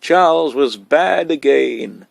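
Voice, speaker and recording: A man's voice: fairly high pitch, slow, gravelly, no echo, pitched above the performer's own voice, exaggerated voice. Man playing a cheeky, boastful little boy. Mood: shouting excitedly. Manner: insolent, excitable. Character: cheeky, boastful kindergarten boy, loud and gleeful. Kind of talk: storybook character voice.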